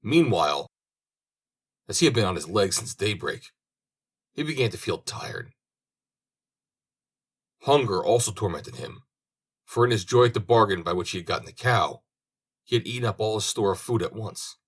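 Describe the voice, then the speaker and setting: A man reading a storybook as an audiobook narrator, with expressive delivery. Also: lowish voice, normal speed, pauses often, breathy edge. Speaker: audiobook reader.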